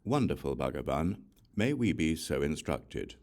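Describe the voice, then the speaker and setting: An earnest bodhisattva disciple read by a man addresses his master in an audiobook scripture. He is formal and reverent, with a low voice and very animated intonation, speaking each phrase deliberately. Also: exaggerated voice. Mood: reverent inquiry.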